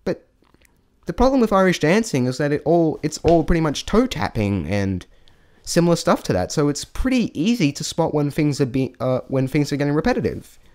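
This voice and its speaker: A man's voice: on the high side, sing-song, fairly quick, darkish tone. A man giving a casual, unscripted spoken review, rambling and thinking aloud in a self-deprecating way. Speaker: amateur vlog reviewer.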